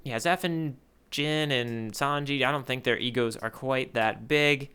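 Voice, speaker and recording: A man's voice: mid-range voice, measured pace, bright, forceful tone, clean tone. A man co-hosting a podcast as a game contestant, chatting casually and thinking aloud as he wavers between guesses. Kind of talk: casual chat, playful quiz banter.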